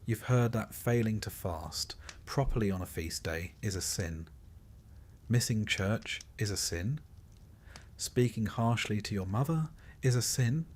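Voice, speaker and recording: Low-pitched man narrating a sleep story. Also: a little slow, darkish tone. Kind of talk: scripted second-person history sleep-story narration.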